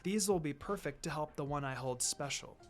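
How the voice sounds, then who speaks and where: Gentle androgynous teen boy, read by a man, soft-spoken, polite, measured storybook delivery over music. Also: fairly high pitch, pitched below the performer's own voice, lightly acted. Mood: warm, polite. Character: gentle, pretty teenage boy, mistaken for a girl.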